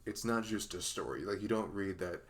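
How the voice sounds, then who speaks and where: A man's voice: low-pitched, a little fast, natural voice. A man playing a dorky, nervous young best friend in a conversational ASMR roleplay, rambling and stammering with self-deprecating humor. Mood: fond, teasing.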